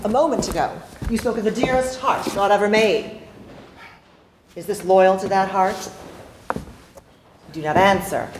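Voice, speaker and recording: A stage actress in her thirties playing a mysterious, teasing foreign lady with formal, deliberate phrasing. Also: medium pitch, natural voice. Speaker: stage actor. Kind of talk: live theatrical stage acting. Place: theater stage, indoors. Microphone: distant camera. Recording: echoey theater stage, faint hiss.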